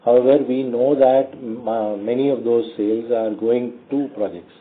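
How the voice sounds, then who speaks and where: A man with an Indian accent speaks as a company executive answering questions on an earnings call, in a halting, measured way with frequent pauses. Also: medium pitch, steady tone. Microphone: conference call phone line. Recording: tinny narrowband phone line.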